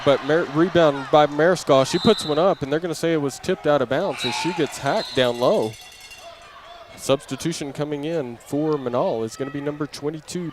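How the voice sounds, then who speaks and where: A man calling live basketball play-by-play for an audio broadcast in short, clipped phrases, raising his voice with the action. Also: medium pitch. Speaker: play-by-play announcer. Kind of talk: live basketball radio commentary. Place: indoor basketball gym during game. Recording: noisy gym ambience, bouncing balls.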